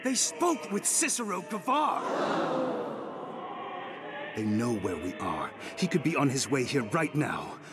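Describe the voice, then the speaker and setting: Agitated, doubting rebel follower. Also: male voice, on the low side, very animated, normal speed, hardly any pauses, over loud music. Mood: alarmed, accusing. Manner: urgent, challenging. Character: doubting, agitated male follower in a secret band. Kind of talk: dramatic fantasy game voice acting.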